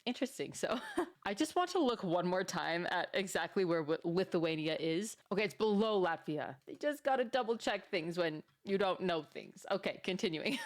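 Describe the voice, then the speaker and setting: A woman's voice: fairly low pitch, animated, moderate pace. A young American woman hosting a reaction video, chatting casually and curiously, often laughing and sounding amazed. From United States.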